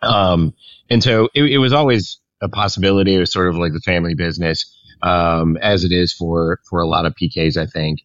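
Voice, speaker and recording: A man from the Philippines speaking as a pastor and interview guest, telling his story in a halting, informal, conversational way. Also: low voice, fairly bright tone, no room sound, lo-fi sound. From raised in the Philippines.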